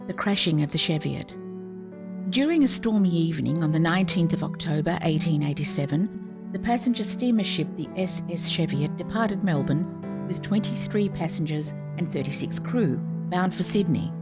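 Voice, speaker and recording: A woman giving clear, even, scripted narration for a series of historical radio plays. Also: on the low side, normal speed. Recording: music playing behind. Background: music.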